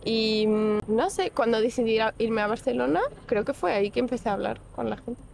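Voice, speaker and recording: A woman's voice: fairly high pitch, brisk, gravelly, a little mellow, a little hiss or hum. Young woman, hesitant. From Portugal. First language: Portuguese. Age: young adult. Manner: hesitant, many restarts and fillers. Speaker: guest. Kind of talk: casual interview.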